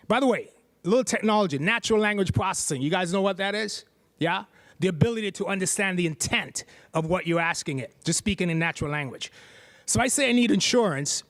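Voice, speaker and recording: Middle-aged male presenter, high-pitched, playful and chatty, live demo with handheld mic. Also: fairly bright tone. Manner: playful, chatty, jokes with the crowd. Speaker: presenter giving a tech demo. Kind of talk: live stage demo to an audience. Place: stage at a tech meetup.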